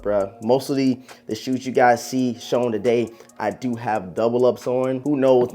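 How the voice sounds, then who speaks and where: A slightly hoarse man who has partly lost his voice, hosting a video with casual, slangy hype chat aimed straight at his viewers. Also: medium pitch, darkish tone. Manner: slangy, informal, addressing viewers as bro and man. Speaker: sneaker video host.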